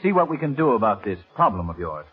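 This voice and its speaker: A man acting a calm, shrewd American gentleman sleuth in a 1940s radio mystery, measured and reassuring. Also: on the low side, over loud music. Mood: thoughtful, measured. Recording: muffled, faintly noisy 1940s radio recording.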